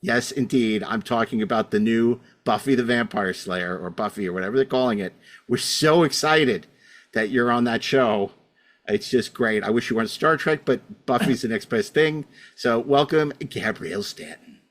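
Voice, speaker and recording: A man's voice: mid-range voice, normal speed. A middle-aged man hosting a podcast, introducing things casually in a rambling, wry, self-deprecating way. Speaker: podcast host.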